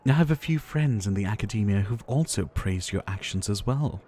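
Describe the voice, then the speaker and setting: Low, gentle ailing doctor, acted by a man. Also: lively intonation, a little fast, slight background noise, close to an everyday voice. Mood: warm, welcoming. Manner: soft-spoken, theatrical, polite, with sighs. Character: gentle, ailing male pharmacist doctor, courteous and wistful. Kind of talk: ASMR roleplay acting.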